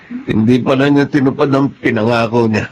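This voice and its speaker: A man's voice: mid-range voice, fairly even intonation, moderate pace, a little mellow, dull audio, slight background noise, close to an everyday voice. A man playing an ailing, disappointed father with a Filipino accent, strained and groaning in radio drama style. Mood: pained, weary.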